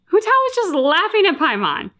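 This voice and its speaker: A woman's voice: very high voice, big pitch swings, brisk, sharp tone. A woman co-hosting a reaction channel, casually discussing a video game and acting out bits of what characters say.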